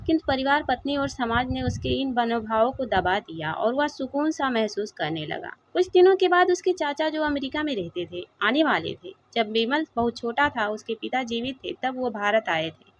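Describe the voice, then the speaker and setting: A woman's voice: high-pitched, a little hiss or hum. Woman telling a heartfelt moral tale, voice goes up and down a lot, kinda lo-fi audio. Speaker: storyteller. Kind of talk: scripted narration of a sentimental moral story. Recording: dull, lo-fi sound.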